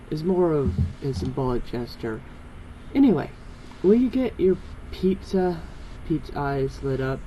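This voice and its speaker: A man with a soft-edged, mellow voice reads a fan story aloud, moving between narration and dialogue. He trips over longer words now and then. The amateur recording has faint noise behind it. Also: mid-range voice, poor audio. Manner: stumbles over long words. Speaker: fan fiction reader.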